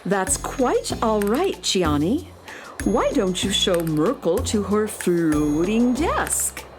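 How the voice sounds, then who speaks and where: A woman playing a kindly, patient schoolteacher who gently instructs children in a space radio drama. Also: medium pitch, sing-song, takes it slowly, loud music behind the voice. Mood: kindly, instructive. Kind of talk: children's audio drama acting.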